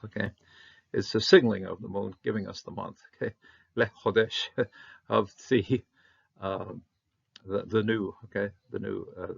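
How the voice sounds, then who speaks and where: Gravelly, husky man teaching Hebrew. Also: fairly low pitch, muffled recording. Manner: halting, many fillers, repeats, bursts on Hebrew words. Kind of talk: unscripted verse-by-verse Hebrew lesson.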